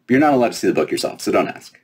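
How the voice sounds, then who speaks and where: Man voicing a cheeky, proud little fairy princess, pitched up. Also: sing-song, rapid-fire, darkish tone, slightly rough. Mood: breezy, smug. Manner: breezy, teasing, self-important. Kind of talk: children's storybook read aloud.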